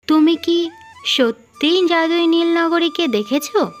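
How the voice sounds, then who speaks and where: A woman voicing a young cartoon princess who is proud, demanding and quick-tempered. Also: extremely high pitch, faint music behind, voice pushed up.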